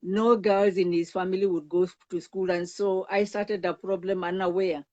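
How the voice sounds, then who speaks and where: A woman's voice: fairly low pitch, level tone, normal speed, a little mellow. An older woman with a Kenyan accent, a counselor earnestly recounting her own life as a guest, emphatic, often restarting words. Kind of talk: earnest personal testimony. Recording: dull lo-fi sound.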